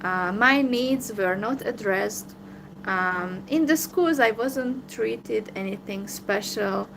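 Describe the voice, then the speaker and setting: A woman's voice: medium pitch, slow, gravelly, noisy background. A woman with a Hungarian accent, talking alone to her viewers in a downcast, hesitant way with many fillers. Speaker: vlogger. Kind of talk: solo livestream monologue.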